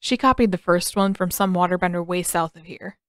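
A woman's voice: fairly low pitch, brisk, fairly bright tone, no room sound, clean studio sound, lightly acted. A woman voicing an eager, cheeky teenage boy, a blunt and pushy brother, in an audio story. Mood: accusing.

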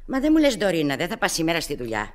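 A woman's voice: low voice, wildly swooping pitch. An actress playing a chatty, fussing middle-aged landlady and mother in a radio theatre drama. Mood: chatty, admiring. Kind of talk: 1960s radio theatre acting.

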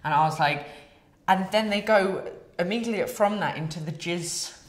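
Blunt, swearing woman, low voice. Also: moderate pace, roomy sound. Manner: blunt, swears a lot, acts out scenes in quoted voices. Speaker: co-host. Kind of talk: casual film discussion. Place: small studio with poster wall.

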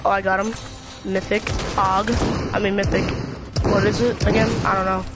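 A young boy chatting excitably and rambling while playing a video game with a friend. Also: high-pitched, normal speed, over loud music. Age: child. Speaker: gamer. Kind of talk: casual gaming chat. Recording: noisy gameplay audio behind.

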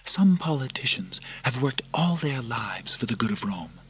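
A man voicing a frightened noblewoman who pleads softly and often whispers, with a breathy voice. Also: mid-range voice, big pitch swings, normal speed, voice pushed up, heavily acted. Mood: pleading. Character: grieving noblewoman, afraid for her son.

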